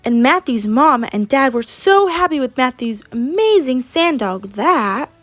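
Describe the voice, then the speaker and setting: Teen girl reading a kids story, really lively high voice, music behind her. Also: unhurried, no echo. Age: teenager, high school senior. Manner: animated, acts out characters. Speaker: read-aloud storyteller. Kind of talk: expressive children's picture book reading.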